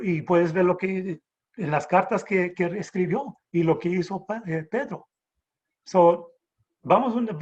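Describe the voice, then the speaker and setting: Halting husky man teaching the Bible. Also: high-pitched, darkish tone, muffled recording. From Texas border, raised in San Antonio. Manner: halting, many restarts and rhetorical "¿verdad?". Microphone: video call.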